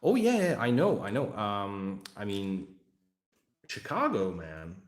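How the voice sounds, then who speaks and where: Deep-voiced Hungarian man chatting. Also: wildly swooping pitch, slow pace, reverberant. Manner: rambling, joking, talking to chat. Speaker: livestream hobby host. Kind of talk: casual livestream chat while building a model.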